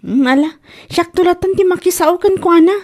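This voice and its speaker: Woman acting worried caring big sister, really high voice, ilocano accent, comedy drama. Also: fairly quick, darkish tone. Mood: concerned, apologetic. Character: worried, caring older sister of a troubled girl.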